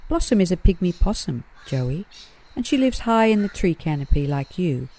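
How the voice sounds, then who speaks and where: A woman voicing an excitable, confident young male kangaroo who explains things eagerly in a children's storybook. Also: medium pitch, normal speed, voice pushed up. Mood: knowing.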